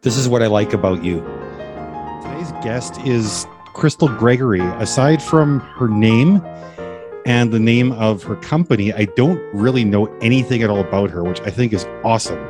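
A man's voice: on the low side, normal speed, over music. A man hosting an interview podcast, asking curious, rambling questions with frequent restarts. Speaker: podcast host. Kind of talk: casual interview chat.

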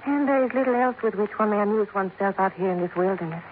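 A woman's voice: medium pitch, quick pace, phone-quality sound, close to an everyday voice. A woman playing a cool, sly young widow in a 1950s radio drama, poised and teasing, with a Spanish accent. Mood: amused, teasing. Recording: thin 1950s radio recording, slight noise.

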